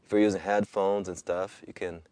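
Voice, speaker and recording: A man's voice: low-pitched, little pitch movement, fairly quick, dark tone, clean tone, no echo. Laid-back co-host man with a scratchy voice. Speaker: co-host reading dialogues.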